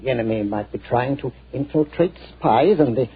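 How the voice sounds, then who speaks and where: An actor playing a hearty army general in a 1940s radio drama, often hesitating with "uh". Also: male voice, medium pitch, animated, moderate pace, slightly rough. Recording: tinny old radio broadcast.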